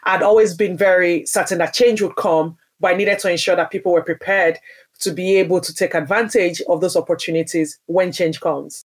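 A woman's voice: on the low side, steady tone, brisk. Earnest woman from Nigeria telling her story. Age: adult, parent. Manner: earnest and emphatic, with frequent restarts. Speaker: podcast guest, business founder. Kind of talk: interview answer, personal story.

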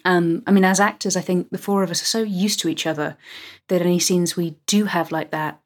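Young adult woman, actor guest; low-pitched, chatty and enthusiastic. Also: fairly even intonation, a little fast. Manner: chatty, enthusiastic, thinks aloud with ums. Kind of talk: casual interview chat.

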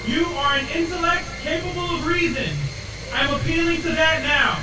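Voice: extremely high pitch, fairly even intonation, normal speed. An actor playing a defiant, cocky spacecraft pilot in a sci-fi audio drama, wry and firm. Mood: stern resolve. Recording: very echoey, distant, noisy craft.

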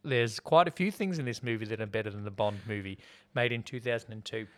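A man's voice: lowish voice, fast talker. A man co-hosting a casual movie review chat, joking and laughing often. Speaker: podcast co-host.

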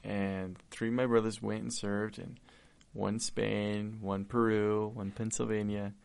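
Young man guest, earnest and heartfelt, low flat gravelly voice. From Argentina. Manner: earnest, heartfelt, halting with restarts. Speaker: podcast guest. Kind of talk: casual conversation.

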